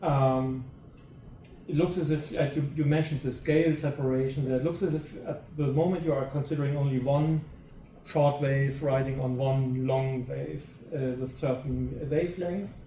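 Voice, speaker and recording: A man with a dark, relaxed tone speaks from some distance in an echoing room. He is a researcher in the audience, reasoning hesitantly through a long question and repeating words as he goes. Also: mid-range voice, normal speed. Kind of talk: academic discussion, long spontaneous question. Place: seminar room, away from the mic. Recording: echoey, off-mic with faint noise.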